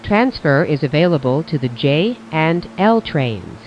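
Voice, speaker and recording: A train announcer reading scripted automated station announcements in a measured, formulaic way. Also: medium pitch, lively intonation, lo-fi sound, slight background noise.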